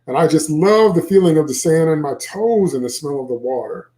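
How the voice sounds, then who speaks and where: A smart-aleck sixth-grade boy acted out by a man rambles through his thoughts in a storybook reading. The tone is mellow and the delivery chatty and wry, like a geeky kid's inner monologue. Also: on the high side, animated, brisk, reverberant, natural voice, rough recording. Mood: chatty, carefree. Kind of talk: first-person children's novel read aloud.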